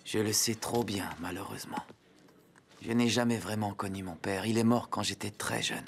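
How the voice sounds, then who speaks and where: A man playing an earnest, protective young king burdened by duty in a dubbed animated adventure, speaking in a solemn, measured way. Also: lowish voice, gravelly, a little hiss or hum. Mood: sorrowful, gentle.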